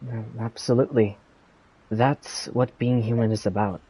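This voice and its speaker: A man's voice: mid-range voice, fairly even intonation, moderate pace, mellow, breathy edge, close to an everyday voice, rough recording. An actor playing an earnest male companion in a fantasy video game.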